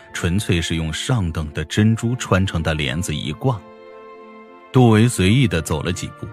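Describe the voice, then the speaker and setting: A man reads a fantasy novel as an audiobook narrator. His voice sits very low, and music plays behind him as he tells the story.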